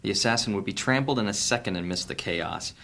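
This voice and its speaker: A man playing a roguish, sardonic adventurer in a fantasy audio drama, with a brisk, wry delivery. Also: fairly low pitch, gravelly, a bit bright. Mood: urgent.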